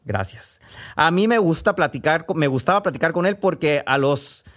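A man with a Sonoran Mexican accent hosting a podcast, chatting casually in a folksy, halting way with asides as he reads out messages. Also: fairly high pitch, expressive, a bit bright. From Sonora, northwest Mexico. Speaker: podcast host and storyteller. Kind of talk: casual chat, reading out listener messages.

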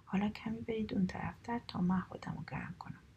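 A woman's voice: medium pitch, steady tone, dark tone, lo-fi sound, lightly acted. A woman whispering the part of a rude, sulky young woman who always complains, in a storybook reading.

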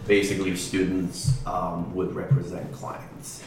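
A man who grew up in Taiwan, a real estate attorney giving halting answers with many ums in a casual interview. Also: low voice, little pitch movement. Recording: distant echoey mic with music behind.

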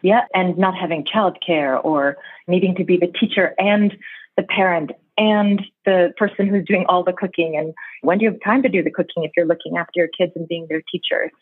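A woman's voice: lowish voice, brisk, slightly rough, no room sound. American woman reflecting over a tinny call line. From New York City, Brooklyn. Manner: thoughtful, reflective, laughs easily. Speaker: guest. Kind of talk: conversational interview.

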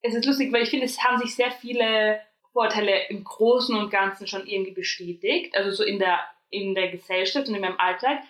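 A woman's voice: medium pitch, fairly quick, fairly bright tone, echoey room. A young woman with an Austrian accent, co-hosting a podcast in easygoing, chatty talk with lots of fillers. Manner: chatty, many fillers and restarts. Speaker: podcast co-host. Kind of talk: casual chat.

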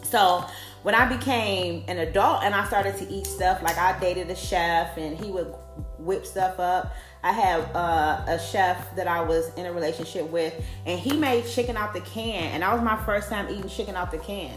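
A woman's voice: lowish voice, normal speed. Woman, forties, podcast host: frank and profane, loose conversational delivery, roomy echo with faint music behind. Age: 40s. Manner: blunt, profane, conversational, says "like" a lot. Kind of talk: candid personal monologue.

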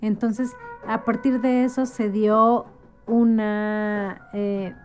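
A woman's voice: on the high side, little pitch movement, very slow, faint music behind. A woman talking as a guest in a casual conversation, explaining things like an informal storyteller and often checking for agreement. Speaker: interview guest.

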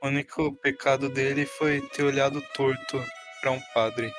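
Even-toned man narrating, music behind. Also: mid-range voice, moderate pace. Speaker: tabletop game player. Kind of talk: dramatic narration of a character.

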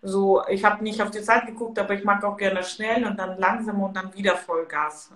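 Brief: woman trainer, hesitant, roomy echo, mid pitch. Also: steady tone, normal speed, a bit bright. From Ukraine. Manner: searches for words, hesitant. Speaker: guest, Pilates and yoga trainer. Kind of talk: casual podcast conversation.

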